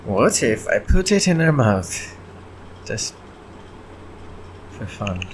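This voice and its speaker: A man chatting casually and haltingly as he thinks aloud while working on his indie game devlog. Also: on the low side, big pitch swings, slight background noise. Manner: halting, often clearing throat.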